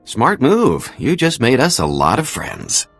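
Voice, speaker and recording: Sardonic male sidekick, impatient teaser, animated intonation, for a video game. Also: mid-range voice, unhurried, soft background music, exaggerated voice. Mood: teasing, impatient. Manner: sardonic, lively. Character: sarcastic, impatient bounty hunter's crew member. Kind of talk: video game cutscene acting.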